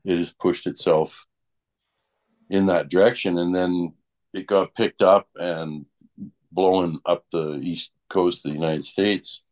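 A man in casual conversation, explaining things haltingly and repeating words as he goes. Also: deep voice, darkish tone. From Canada. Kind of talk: casual group chat about weather.

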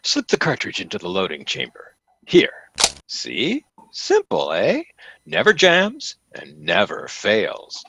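An actor playing a fawning, pompous store salesman in an old-time radio comedy, with effusive, theatrical flattery. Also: fairly low pitch, slow pace, vocal fry, noisy background, exaggerated voice. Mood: grand sales pitch.

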